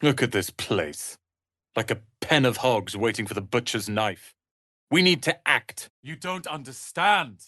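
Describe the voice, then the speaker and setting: A man voicing a proud, sharp-tongued young tiefling wizard's apprentice in a video game, with haughty, theatrical, biting delivery. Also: mid-range voice, expressive, a little slow, no echo, studio quality. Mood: bitter, scornful. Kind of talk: dramatic video game voice acting.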